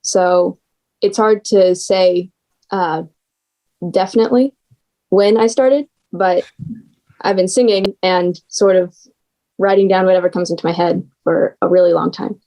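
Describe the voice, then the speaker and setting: A woman's voice: lowish voice, steady tone, unhurried, pauses often, mellow, poor audio. A young woman, chatting casually as a guest writer. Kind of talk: casual chat.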